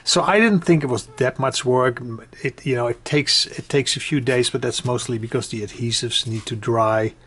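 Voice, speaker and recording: A man giving a casual, unscripted hobby tutorial, conversational and hesitant, often pausing mid-sentence. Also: medium pitch, fairly bright tone, slightly rough. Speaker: hobbyist demonstrating a build. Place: indoors at home.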